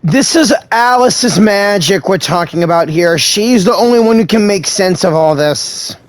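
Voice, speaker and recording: Actor as a slow-talking, wry young traveler. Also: medium pitch, lively intonation, dry recording, close to an everyday voice. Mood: casual, teasing. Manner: casual, wry. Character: easygoing young traveler, dryly teasing. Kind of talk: video game voice acting.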